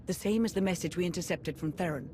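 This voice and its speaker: An actress playing a cautious, determined military adviser in a video game, giving serious, measured briefings. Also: female voice, lowish voice, mellow, a little hiss or hum, lightly acted. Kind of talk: dramatic video game cutscene acting.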